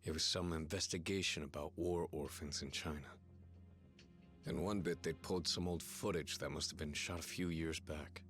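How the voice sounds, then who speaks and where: Coarse, intense gangster, dramatic game voice. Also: male voice, a bass voice, steady tone, normal speed, a bit bright, faint music behind. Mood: regretful, reflective. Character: volatile, guilt-ridden male gangster confessing betrayal. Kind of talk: dramatic English video game dub.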